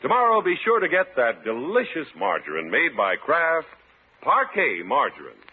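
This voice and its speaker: An American male announcer delivers a vintage radio commercial in polished, emphatic sales style. His fairly high voice rises and falls dramatically. The old recording is tinny and narrow. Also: huge pitch swings, normal speed, slight background noise. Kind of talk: 1950s radio advertisement read, scripted.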